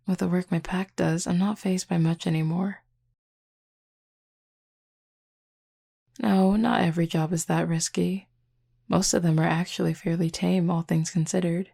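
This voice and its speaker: A woman playing a flirty, laid-back, protective female werewolf in an intimate audio roleplay, speaking casually and unhurriedly to the listener. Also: warm tone. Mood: nonchalant, assured. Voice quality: low, husky, gravelly. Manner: casual, unhurried, teasing, nonchalant.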